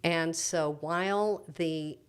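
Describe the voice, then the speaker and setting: A woman's voice: sits low, fairly even intonation, takes it slowly, smooth. A middle-aged woman speaking as a church preacher in an interview, explaining things in an earnest, reflective, teacherly way.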